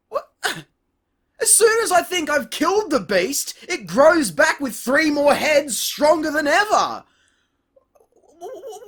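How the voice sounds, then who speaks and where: High-pitched, animated man ranting in review. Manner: sweary, exasperated, sarcastic delivery. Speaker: host of a video review show. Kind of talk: comedic scripted review narration.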